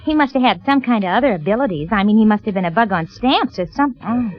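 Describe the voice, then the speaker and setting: Actress as a high-pitched, chatty manicurist gal. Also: female voice, lively intonation, fast talker, lightly acted. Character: naive, chatty, easily impressed young working-class manicurist. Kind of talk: 1940s radio acting. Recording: muffled old radio broadcast.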